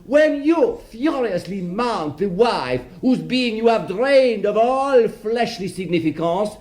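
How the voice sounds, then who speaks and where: A brazen bourgeois villain with a high-pitched voice. He declaims slowly and theatrically, savouring florid phrases. His manner is sardonic, gloating and utterly self-righteous, in the style of a radio play. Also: animated, a little mellow. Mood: indignant, accusing. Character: brazen necrophile murderer, self-righteous bourgeois man in court. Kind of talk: theatrical radio drama monologue.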